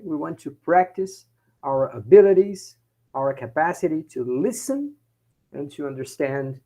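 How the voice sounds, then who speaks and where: A Brazilian professor with a Brazilian Portuguese accent, teaching a class in English, often checking in with "okay?" and repeating points in Portuguese. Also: male voice, on the high side, a little mellow. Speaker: university professor. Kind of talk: bilingual class lecture.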